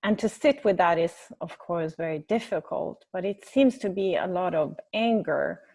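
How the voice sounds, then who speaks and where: A woman from Sweden with a Swedish accent, speaking English as her second language, speaking up haltingly in an online meeting and searching for her words. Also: fairly low pitch, gravelly, a little mellow. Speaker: webinar participant. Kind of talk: hesitant spoken comment in an online meeting. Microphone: video call.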